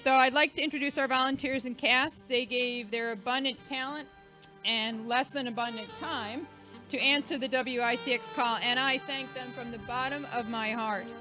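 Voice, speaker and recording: A woman's voice: sits high, normal speed, sharp tone, faint music behind. A woman hosting an event, giving an informal, chatty, joking thank-you speech to a live audience. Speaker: event host and organiser.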